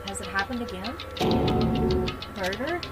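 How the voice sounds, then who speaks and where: A woman voicing an anxious, earnest high school student in a worried, dramatic manner, for a video game. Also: animated, unhurried, lightly acted. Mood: alarmed. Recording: loud music behind.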